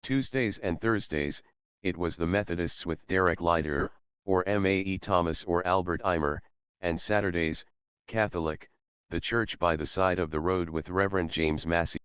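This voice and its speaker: Deep-voiced man narrating, dull dry recording. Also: moderate pace, a bit bright, clean tone, dry recording. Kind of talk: scripted narration of local radio history. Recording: dry but dull, lo-fi sound.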